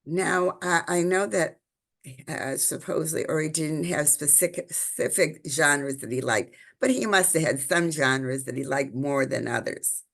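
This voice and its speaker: A woman's voice: low-pitched, unhurried, vocal fry, a bit bright. A woman from Illinois, hosting an interview and asking probing conversational questions. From Illinois, United States. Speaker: podcast host.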